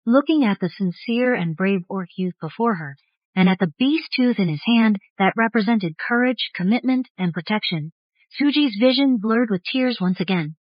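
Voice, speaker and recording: A woman's voice: medium pitch, lively intonation, normal speed, no echo, muffled recording. A woman narrating a scripted story in the style of an audiobook. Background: faint music.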